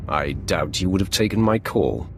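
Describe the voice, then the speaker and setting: A man's voice: low-pitched, animated, normal speed, vocal fry, slight background noise. A male actor playing a ruthless, sardonic Sith warrior in a video game, with a cold and menacing manner. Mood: dry arrogance. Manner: cold, menacing, dryly arrogant. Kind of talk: video game dramatic acting.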